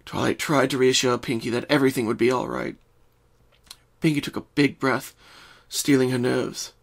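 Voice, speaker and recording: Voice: mid-range voice, steady tone, normal speed, vocal fry. A narrator giving a scripted dramatic reading of a story.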